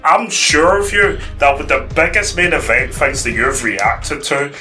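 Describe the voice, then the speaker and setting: Brief: male podcast co-host, very bright sharp tone, teasing, ranting style. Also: a little high, expressive, measured pace, soft background music. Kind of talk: casual wrestling podcast chat.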